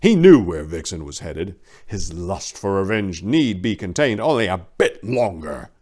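A man's voice: sits low, big pitch swings, a little slow. A man in his forties giving a theatrical, emphatic, mock-serious dramatic reading of a story. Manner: theatrical, emphatic, mock-serious with big swells and hushed drops. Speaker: reader. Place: small indoor room lined with bookshelves. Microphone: lapel microphone.

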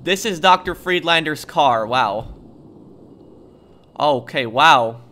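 A man giving casual gameplay commentary in a hesitant, halting way full of ums. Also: a little high, expressive, pushed and bright. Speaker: gamer, video host.

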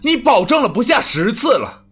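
Bullying male police officer played by a man, coarse and commanding, high voice with wild pitch swings, for radio drama. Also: very animated, normal speed, fairly bright tone, slightly rough, highs cut off, faint music behind, heavily acted. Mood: angry, commanding. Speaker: voice actor. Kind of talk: audio drama acting.

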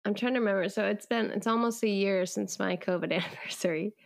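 A woman chatting casually as a podcast co-host, reacting with quick interjections. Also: medium pitch, gravelly. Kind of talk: casual chat.

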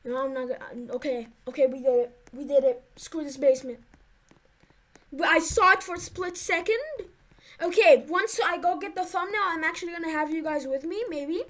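A woman's voice: high-pitched, normal speed, fairly bright tone. A woman giving nervous, jumpy commentary to her viewers while playing a horror game. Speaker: gaming YouTuber.

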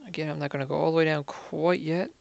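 A man's voice: fairly high pitch, fairly even intonation, quick pace, gravelly, no echo, muffled recording. A man giving casual gameplay commentary, muttering to himself and counting under his breath. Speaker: gaming video creator.

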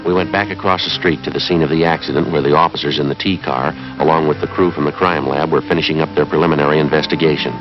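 Very deep-voiced, deadpan police detective. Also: male voice, fast talker, hardly any pauses, over loud music. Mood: businesslike, deadpan. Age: 30s. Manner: clipped, deadpan, matter-of-fact. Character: terse, businesslike male police detective narrating his case. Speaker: detective and narrator. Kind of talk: 1950s police procedural acting. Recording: dull 1950s film soundtrack.

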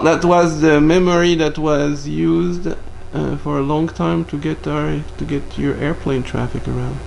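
Creaky-voiced man hosting casual tech chat. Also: mid-range voice, darkish tone. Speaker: host. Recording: background room noise.

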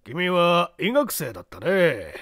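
A man voicing a smug, probing judge in an audiobook, deliberate and condescendingly genial as he questions a suspect. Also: high voice, wildly swooping pitch, a bit bright, no room sound, clean studio sound, voice pushed up, exaggerated voice. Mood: smugly genial. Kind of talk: dramatic audiobook character reading.

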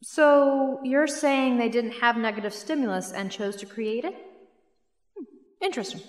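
An actress playing a composed female university professor who questions students in a measured, academic way in an audio drama. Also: fairly high pitch, close to an everyday voice. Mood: probing. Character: probing, composed female university professor leading class debate. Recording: echoey hall reverb with music.

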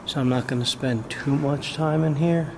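A man talks through his walk around a large, empty jail building, in a flat, even tone with little rise and fall. His voice comes through a camera microphone with a steady hiss of background noise behind him. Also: normal speed, vocal fry. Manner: low-key, conversational, pointing things out. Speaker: urban explorer host. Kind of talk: casual walkthrough narration.

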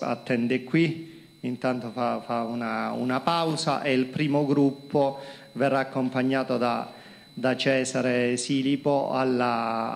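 A middle-aged man from Calabria giving a halting talk to students at a lectern, with many fillers and repeated words, into a handheld microphone in a conference hall. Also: mid-range voice, gravelly. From Calabria, Italy. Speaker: company executive presenting to students. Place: conference hall with projector screen.

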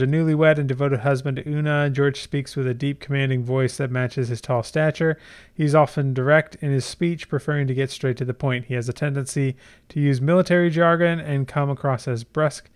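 A middle-aged man hosting a casual software tutorial, explaining conversationally and thinking aloud as he goes. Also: medium pitch. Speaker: tutorial host.

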